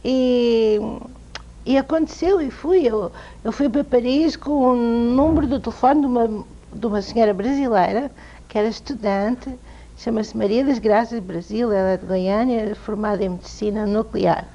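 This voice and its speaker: A woman with a European Portuguese accent, an author telling lively, self-amused stories as a guest in an interview. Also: a little high, moderate pace. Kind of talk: anecdotal interview storytelling. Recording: dull lo-fi TV sound.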